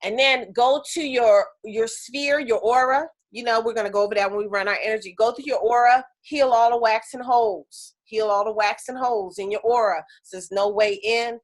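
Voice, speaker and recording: A woman teaching an energy healing class on a video call, chatty and animated, with lots of fillers. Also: a little high, normal speed, few pauses.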